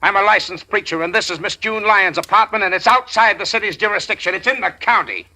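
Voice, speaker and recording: An actor playing a bold, emphatic preacher in a 1940s radio drama. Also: male voice, sits high, quick pace, sharp tone, exaggerated voice. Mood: defiant. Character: brash middle-aged male preacher. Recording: old radio broadcast with music.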